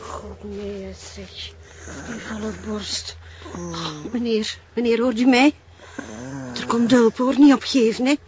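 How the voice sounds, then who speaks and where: A woman's voice: on the high side, fairly even intonation, takes it slowly. An actress playing an alarmed policewoman in a crime drama, speaking Flemish-accented lines with urgent exclamations. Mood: shocked, anxious. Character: alarmed policewoman under fire, tending a wounded man. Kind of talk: naturalistic TV crime drama acting. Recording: dull lo-fi sound, faint noise.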